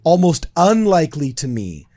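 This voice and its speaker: A man co-hosting a podcast, retelling a story in an animated way and teasing his co-host. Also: a little high, moderate pace, no echo. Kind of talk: casual podcast chat.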